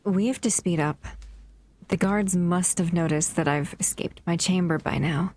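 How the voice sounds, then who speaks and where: A woman voicing a doting, possessive female monster in an audio roleplay, speaking softly and often in a whisper. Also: fairly low pitch, moderate pace, close to an everyday voice. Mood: coolly confident.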